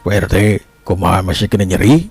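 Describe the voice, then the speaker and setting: A man voicing a calm, reassuring village healer and fighter in a folk tale, with a Sundanese accent. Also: low voice, quick pace, breathy edge, no room sound, voice pushed down, exaggerated voice. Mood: calm, caring.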